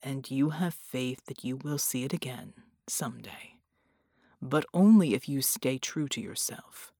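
A woman voicing a gruff but gentle, stoic man in an audiobook, in a low, measured murmur. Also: dry recording, studio quality, heavily acted. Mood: soft, consoling, then solemn, resolute. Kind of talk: audiobook character dialogue.

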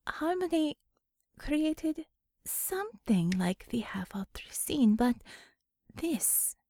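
A learned Russian woman doctor from a superhero audiobook, as a female reader puts her on. She is warm and deliberate, with a Russian accent, broken English and a fairly high voice. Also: very animated, slight background noise, full character voice. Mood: warm, grave.

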